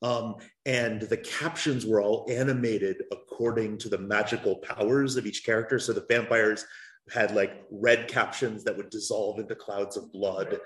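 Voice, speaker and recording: A man's voice: on the low side, steady tone, moderate pace. A man on a discussion panel, talking in an earnest, considered way. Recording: echoey room, faint noise.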